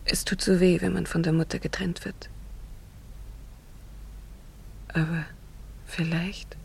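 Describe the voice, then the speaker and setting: A woman's voice: fairly low pitch, level tone, normal speed, gravelly, husky, exaggerated voice. Wistful, secretive young village girl. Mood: wistful, grieving. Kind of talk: German radio drama acting.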